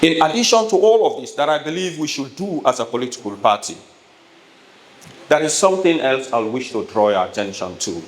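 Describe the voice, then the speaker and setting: A Sierra Leonean man addresses a town hall in formal, emphatic oratory. His mid-pitched voice rings around an echoey room, with some faint noise behind it. He speaks in deliberate, measured phrases, as a politician reading a prepared address. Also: big pitch swings.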